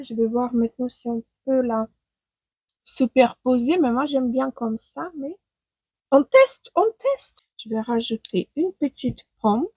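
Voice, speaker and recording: A woman's voice: high voice, a little mellow, breathy edge, no room sound, poor audio. A woman from Ukraine who speaks with a Ukrainian accent and whose first language is not the one she speaks. She chats casually to camera as a beauty vlogger, hesitating and thinking aloud while she applies makeup at home. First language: not French. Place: home room with daylight.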